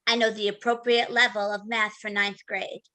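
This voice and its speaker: A woman's voice: medium pitch, level tone, normal speed, pushed and bright, natural voice. A woman playing a polite, literal computer schoolgirl with formal, precise speech in a staged play reading. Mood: neutral, literal.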